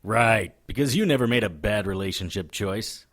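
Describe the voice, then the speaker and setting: A man's voice: lowish voice, animated, moderate pace, a bit bright. A man playing a world-weary, wisecracking hard-boiled investigator in an audio drama, dry and sarcastic. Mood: teasing, defensive.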